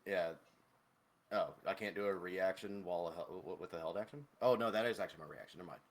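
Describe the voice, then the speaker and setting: Guy playing a tabletop rpg, low voice, just casual game chat. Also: quick pace.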